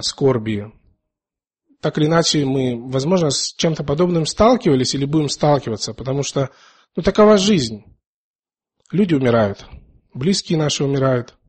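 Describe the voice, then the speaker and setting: A man preaching in a conversational, earnest way and reading scripture, pausing often, a little unwell with a dry mouth. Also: medium pitch, darkish tone, husky. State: slightly ill, dry mouth, clearing throat.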